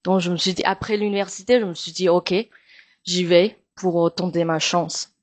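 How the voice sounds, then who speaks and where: A young woman from Taiwan, Mandarin her first language, speaking French with a Taiwanese accent as an interview guest, pausing often and filling gaps with hesitations. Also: on the low side, steady tone, no echo. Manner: hesitant, many pauses and fillers. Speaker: guest, actress and singer. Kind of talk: casual interview chat.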